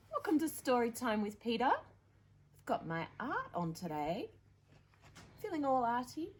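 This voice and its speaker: A woman's voice: mid-range voice, a little hiss or hum. A woman presenting storytime, reading picture books in a playful, theatrical way with chatty asides to young listeners. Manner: playful, theatrical, with dramatic emphasis.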